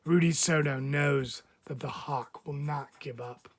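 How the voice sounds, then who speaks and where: A man reading a picture book aloud as a teacher would, expressively, with deliberate dramatic phrasing and frequent pauses. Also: a little high, fairly even intonation. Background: background music.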